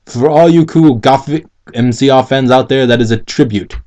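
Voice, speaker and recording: A man's voice: mid-range voice, normal speed, dark tone, highs cut off. A man co-hosting a mocking dramatic reading, casually commenting with giggly, stumbling, exasperated profanity. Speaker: co-host reading and mocking fan fiction.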